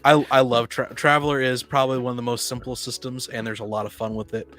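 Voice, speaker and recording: A man's voice: medium pitch, little pitch movement, quick pace, a bit bright, soft background music. A man in a casual chat, opinionated, often stammering as he restarts his sentences. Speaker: podcast co-speaker, experienced game master.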